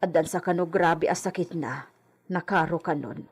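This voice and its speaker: A woman playing a meek, cautious housemaid with a husky voice, deferential and hesitant, in an audio drama. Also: on the low side, moderate pace. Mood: meek, uneasy. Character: meek, cautious housemaid reporting gossip to master. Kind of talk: Filipino audio drama acting.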